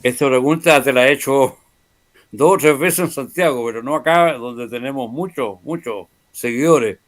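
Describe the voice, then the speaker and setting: Brief: male host, measured and formal, mid-pitched. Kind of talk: talk show hosting and reading sponsor ads.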